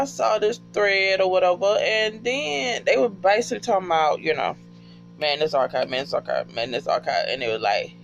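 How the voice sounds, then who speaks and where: An American man chatting casually in a rambling, slangy, profane and excitable way, like a fan telling friends a story. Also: a little high, creaky. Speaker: podcast fan reviewing favourite shows. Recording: dull lo-fi audio with music behind.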